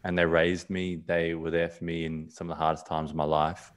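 A man speaks from a small room at home over a video call webcam. His voice sits low. He rambles gently, repeating words and restarting sentences as he talks. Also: level tone, brisk. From South Africa, raised in Australia. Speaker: podcast guest. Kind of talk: casual conversation on a video call.

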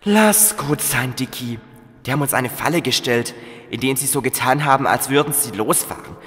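A man's voice: mid-range voice, normal speed, a bit bright, natural voice. A male actor playing a determined, reassuring boy who leads young adventurers in a children's audio drama. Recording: reverberant dungeon effect, faint music.

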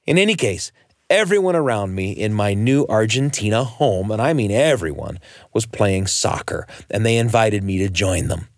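A man's voice: lowish voice, lively intonation, moderate pace, dry recording, studio quality. An American man telling a scripted personal story as a radio host, in the manner of a storyteller.

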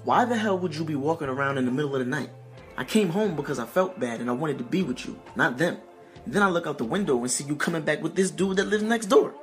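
Audio drama role: actor playing jealous male spouse, quick-tempered and interrogating, music underneath. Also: fairly high pitch, animated, a bit bright. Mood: angry, accusing. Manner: accusing, interrogating. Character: jealous, suspicious, hot-tempered husband. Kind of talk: audio drama acting, confrontational.

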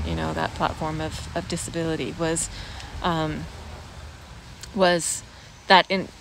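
An American woman in her forties talking casually and reflectively to camera, halting and thoughtful, with pauses. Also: on the low side, a bit bright. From United States expatriate raised around the Pacific Basin. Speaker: vlogger. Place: outdoors in a leafy garden, seated. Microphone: phone at arm's length. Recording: clear outdoor background noise, cricket-like ambience.

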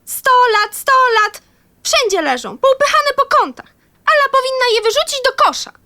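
A woman voicing an eccentric, inquisitive and grumbly toy teddy bear in a children's audio play, squeaky and exclamatory. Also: very animated, normal speed, bright, forceful tone, exaggerated voice. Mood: worried. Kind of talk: children's audio drama acting.

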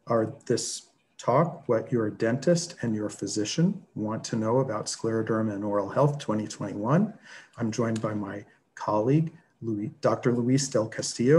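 Older male lecturer at home, webcam video call, easygoing conversational delivery, middle pitch. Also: slight background noise. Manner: relaxed, conversational, with fillers. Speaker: dental school lecturer presenting. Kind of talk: conference presentation over video call. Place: home office.

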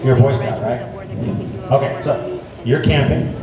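A man's voice: medium pitch, fairly even intonation, a little slow, highs cut off, a crowd in the background, natural voice. A man playing a playful, teasing firehouse dog puppet, chatting and joking with children in a live safety show. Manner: jokey, chatty, egging the audience on. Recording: echoey mall, distant mic, audience noise.

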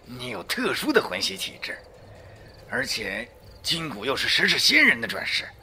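An actor playing a mysterious, cunning old master in a Chinese costume audio drama, theatrical, lofty and coaxing. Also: male voice, on the high side, huge pitch swings, moderate pace, gravelly, sharp tone, a little hiss or hum, heavily acted. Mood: coaxing, pleased. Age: older.

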